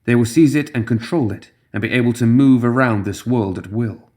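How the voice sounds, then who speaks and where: A man's voice: mid-range voice, normal speed, warm tone. A man reading scripted fantasy fiction as an audiobook narrator.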